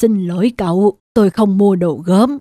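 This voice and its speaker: A woman voicing a wise, kindly old man in a cartoon, gentle and advising. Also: medium pitch, moderate pace, very noisy, natural voice. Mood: weary, polite. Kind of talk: cartoon dubbing.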